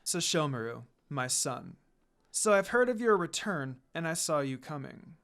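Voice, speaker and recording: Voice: mid-range voice, sing-song, measured pace, gravelly, fairly bright tone, heavily acted. A reader voicing a haughty, reserved demon noblewoman and mother in a fan fiction story.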